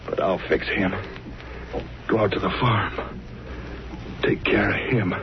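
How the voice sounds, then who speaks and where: An actor playing a cowardly, easily rattled crook with slangy, stammering, agitated speech, in 1930s radio style. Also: male voice, deep voice, takes it slowly. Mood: groggy, vengeful. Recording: noisy, dull vintage radio recording.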